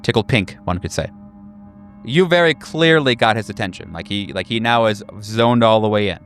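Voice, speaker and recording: A man running a horror tabletop game, improvising scene descriptions in a casual, halting way. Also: lowish voice, animated, a bit bright, soft background music. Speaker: game master.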